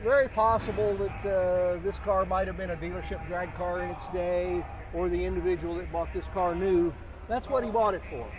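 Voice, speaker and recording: A middle-aged or older man giving casual expert commentary as a guest, halting and deliberate, repeating words for emphasis. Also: sits high, background noise. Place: outdoors at a car show or auction.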